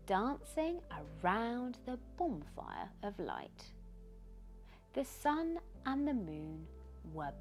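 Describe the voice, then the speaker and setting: A woman voicing tiny, wise, glowing sea wisps in a children's storybook, solemn and unhurried as they tell of old times. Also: on the low side, exaggerated voice.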